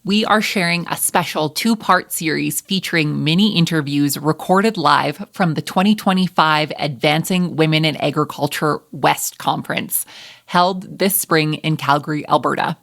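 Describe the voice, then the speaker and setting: Low-pitched, creaky-voiced woman host. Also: moderate pace, fairly bright tone, no room sound, clean studio sound. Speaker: podcast host, interviewer. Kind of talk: podcast introduction and interviewing.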